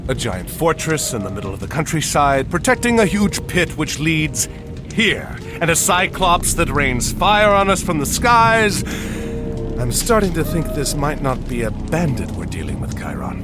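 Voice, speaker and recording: A bold ancient warrior leader in a game cutscene. His voice is fairly high for a man and very lively, declamatory and resolute, with music behind it. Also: moderate pace. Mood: wary, grave. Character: bold, commanding middle-aged male Atlantean warrior hero. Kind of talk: scripted video game cutscene acting.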